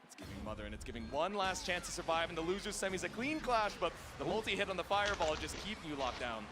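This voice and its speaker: A man's voice: high-pitched, sing-song, fast talker. A man giving live fighting game tournament commentary. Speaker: esports commentator. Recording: music behind the voice.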